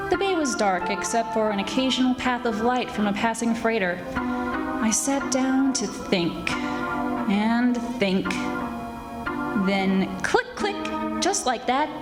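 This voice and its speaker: A woman playing a wisecracking private detective in 1940s radio mystery style, with brisk, wry, hardboiled delivery. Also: fairly high pitch, lively intonation. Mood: measured narration. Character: brisk, wisecracking female private detective narrating her case. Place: live stage radio theatre performance. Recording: echoey stage recording with music.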